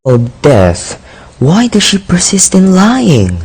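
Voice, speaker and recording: A man's voice: sits high, wildly swooping pitch, slow pace, a little mellow, slightly rough, full character voice. A man playing a heartbroken young poet in a radio drama, declaiming bitterly and in despair. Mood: indignant. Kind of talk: theatrical radio drama acting.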